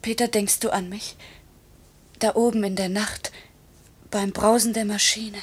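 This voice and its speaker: A woman playing a tender, anxious young pilot's wife in a 1950s radio play, girlish and adoring, soft and breathy. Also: mid-range voice, little pitch movement, unhurried. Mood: tender, uneasy. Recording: noisy old radio recording.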